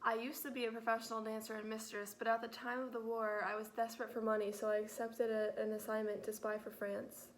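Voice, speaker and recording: A woman playing a desperate, defensive female dancer turned spy in a school role-play interview, speaking quietly and hurriedly. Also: a little high, little pitch movement, fast, few pauses, slight background noise, lightly acted.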